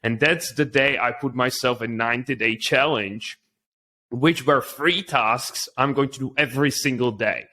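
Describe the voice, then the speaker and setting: A man's voice: medium pitch, normal speed, bright, forceful tone. A man from the Czech Republic with a Czech accent, speaking English as a second language as an interview guest telling his story conversationally.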